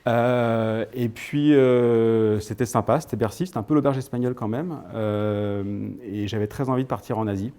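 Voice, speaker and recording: A man's voice: mid-range voice, fairly even intonation, moderate pace, few pauses, mellow, smooth. A man of thirty-nine, giving an informal personal talk to an audience in a hesitant storytelling manner. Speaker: guest speaker.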